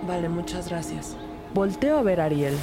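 A brisk female police detective played by a woman tells her case in a measured, storytelling way. Her voice sits fairly low, and loud music plays beneath it in this audio drama. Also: lively intonation. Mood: composed. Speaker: narrator and lead actor. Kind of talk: scripted audio drama narration in first person. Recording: loud music bed under voice.

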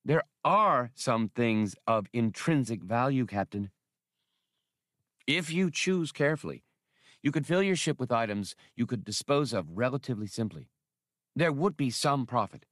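A man's voice: mid-range voice, lively intonation, unhurried, fairly bright tone, no room sound, studio quality. A man voicing an eager, fussy male alien academic with precise scholarly phrasing in an audiobook. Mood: consoling.

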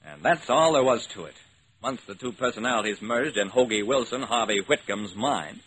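A man's voice: medium pitch, lively intonation, moderate pace, fairly bright tone, husky. An actor playing a wry, level-headed investigator, narrating his case in a clipped, matter-of-fact way in an old-time radio drama. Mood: summing up. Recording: noisy old radio recording.

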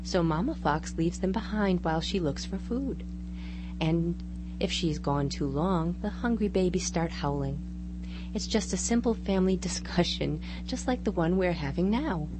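A woman playing an earnest small-town mother in a live radio drama, calmly explaining and reassuring like a storyteller. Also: fairly low pitch, moderate pace, close to an everyday voice. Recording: hum and loud background noise.

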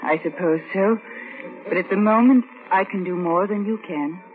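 A woman's voice: mid-range voice, moderate pace, a little hiss or hum, natural voice. An actress playing a resolute, secretive woman in a vintage radio drama, earnest and guarded. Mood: guarded resolve. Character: resolute, secretive woman set on exposing a murderer. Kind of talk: 1940s-1950s radio drama acting. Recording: tinny vintage radio recording.

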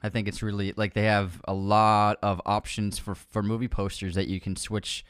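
A young man co-hosting a casual film podcast, chatty and teasing, growing softer when he describes films. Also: lowish voice, level tone, moderate pace, clear, resonant tone, dry recording, studio quality. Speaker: podcast co-host. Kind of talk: casual chat.

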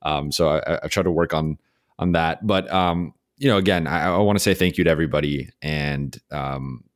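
Young male podcast host, extremely deep voice, halting and sincere, dry close mic. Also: dry recording. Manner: halting, many fillers and restarts, sincere. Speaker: podcast host, sports beat writer. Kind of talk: solo farewell podcast message.

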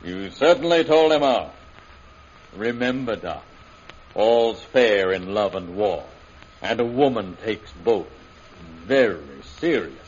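Husky, gloating warlord villain. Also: male voice, on the low side, lively intonation, slow pace, pauses often, a little mellow, heavily acted. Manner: mocking, swaggering. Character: gloating male warlord villain plotting to rule China. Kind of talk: 1930s radio acting. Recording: muffled, dull old radio recording.

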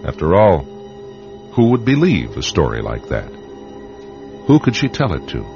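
A man hosting a radio anthology, narrating a script in a theatrical, deliberate, dramatic style. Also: low voice, wildly swooping pitch. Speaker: host and narrator. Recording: slightly muffled radio broadcast. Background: music.

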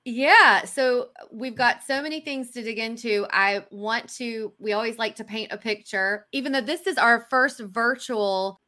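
A woman's voice: on the high side, fairly even intonation, unhurried, hardly any pauses, bright, forceful tone. A woman co-hosting a podcast in an enthusiastic, chatty way, introducing a guest on a video call. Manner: enthusiastic, chatty, laughs often. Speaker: podcast co-host. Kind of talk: casual podcast chat. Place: home studio, video call.

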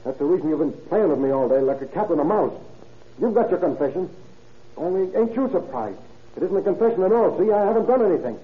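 An actor playing an anxious, talkative young murder suspect in an old radio crime drama, speaking in slangy, earnest pleas. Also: male voice, high voice, lively intonation, quick pace. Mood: defiant. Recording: muffled, noisy vintage radio recording.

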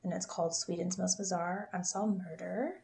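A woman's voice: on the low side, steady tone, normal speed, vocal fry, darkish tone. A woman in her thirties co-hosting a podcast, telling a true crime story in a casual, chatty way, laughing often and stumbling over names.

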